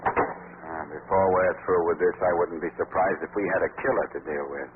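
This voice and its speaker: A man's voice: low voice, steady tone, moderate pace, natural voice. An actor playing a brusque, confident, skeptical male police commissioner in 1940s radio drama, speaking with blunt authority. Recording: noisy, tinny 1940s radio recording.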